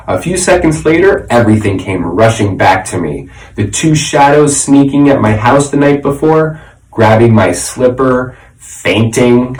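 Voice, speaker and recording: Animated man reading a children's storybook. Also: mid-range voice. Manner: expressive, dramatic. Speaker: reader, teacher. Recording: roomy echo, slightly distant mic.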